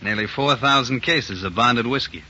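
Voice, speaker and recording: Hard, clipped hijacker. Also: male voice, medium pitch, normal speed, pushed and bright. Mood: businesslike. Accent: American. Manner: clipped, slangy. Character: tough, terse young hijacker, junior partner in crime. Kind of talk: 1940s radio acting. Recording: dull, noisy 1940s radio recording.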